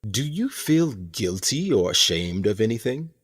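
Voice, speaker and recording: An actor playing a smug, theatrical captain of a sinister prison colony in a radio play, speaking in a pompous, deliberate, condescending way. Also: male voice, on the low side, very animated, slightly rough, full character voice. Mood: coolly authoritative.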